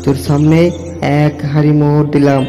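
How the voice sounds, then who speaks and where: A man's voice: on the high side, completely flat delivery, fairly quick, mellow, natural voice. A man playing an all-knowing, generous river spirit in a cartoon fairy tale, solemn and commanding, in long reverb. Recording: long cavernous reverb, music behind.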